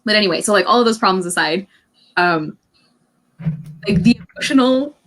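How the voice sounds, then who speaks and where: A woman's voice: mid-range voice, animated, fairly quick, fairly bright tone, rough recording. Woman chatting casually about books. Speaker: book discussion panelist. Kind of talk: casual book discussion chat.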